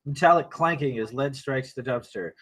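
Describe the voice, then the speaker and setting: A man's voice: medium pitch, moderate pace, a bit bright, breathy edge. A man reading stage directions aloud as narrator of a screenplay table read, in a dramatic present tense.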